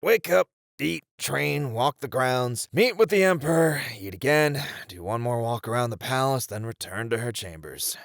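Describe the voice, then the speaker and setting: A man's voice: medium pitch, expressive, normal speed, gravelly, hardly any pauses, dry recording, studio quality. A man playing a flirty, confident ninja in an ASMR roleplay, with a teasing, reassuring manner. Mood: musing. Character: flirty, confident male ninja, playful and unflappable. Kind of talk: ASMR roleplay audio drama acting.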